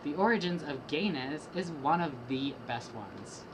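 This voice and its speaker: A young woman with a notably low voice delivers rap verses in a steady, rhythmic reading, swapping lines back and forth with a partner. Clear hiss and background noise sit under the homemade recording. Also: unhurried. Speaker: student reader. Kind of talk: dramatic reading of rap lyrics.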